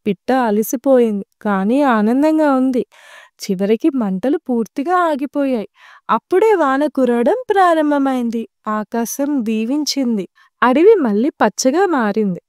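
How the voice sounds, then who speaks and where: A woman reads a children's story as its narrator. Her voice sits a little high and has a warm, relaxed tone. She phrases things simply for young listeners, in a dry, close recording. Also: measured pace, no echo.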